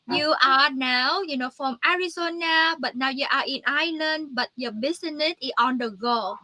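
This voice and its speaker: Upbeat woman guest in her 40s; high, bright tone, dry audio. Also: moderate pace, no room sound. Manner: upbeat and enthusiastic. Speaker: interview guest. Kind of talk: casual online interview.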